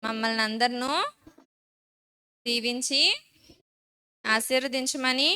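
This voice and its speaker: A woman's voice: on the high side, steady tone, slow, background noise. A woman, a Sunday school teacher instructing and leading children firmly in short phrases.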